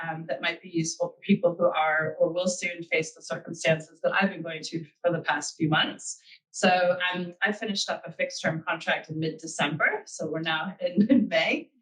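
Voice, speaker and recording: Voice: mid-range voice, fairly bright tone, far from the mic, rough recording. A speaker with an American accent giving a webinar talk, earnest and thinking aloud with frequent ums. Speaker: guest speaker.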